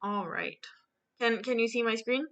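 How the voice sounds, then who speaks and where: A woman's voice: on the high side, fairly even intonation, normal speed, fairly bright tone, muffled recording. A woman chatting casually and tentatively in a group stream. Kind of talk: casual chat.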